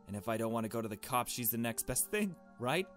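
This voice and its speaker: A man's voice: medium pitch, very animated, fast, fairly bright tone, faint music behind. A man voicing a nervous, shaken young woman who narrates a visual novel mystery in a hesitant, uneasy way. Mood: anxious. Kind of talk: visual novel story read aloud.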